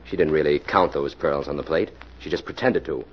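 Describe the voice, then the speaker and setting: A man's voice: rumbling low, fairly quick, a little hiss or hum. A man playing a cool, authoritative police detective in 1940s radio style, speaking firmly in clipped, commanding phrases. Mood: explaining coolly. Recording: muffled old radio recording, music behind.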